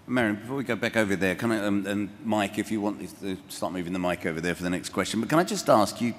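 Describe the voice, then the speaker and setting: Lowish-voiced guy running a panel, brisk, echoey conference hall, bit of hiss. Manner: brisk, directing questions. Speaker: session moderator. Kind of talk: moderating a panel. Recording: reverberant hall with faint noise.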